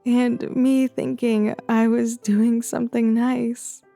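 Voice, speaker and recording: Woman playing a high-voiced, creaky young schoolgirl. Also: level tone, takes it slowly, warm tone, soft background music. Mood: hurt. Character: young schoolgirl confiding in and pleading with a friend. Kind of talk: audio drama acting.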